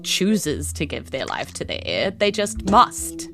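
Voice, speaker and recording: A woman's voice: low-pitched, huge pitch swings, slow pace, vocal fry, a bit bright, soft background music, exaggerated voice. A woman voicing a timid, simple forty-year-old cloud man who speaks in a hesitant, stammering, fatalistic way in a tabletop game. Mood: bleak, resigned.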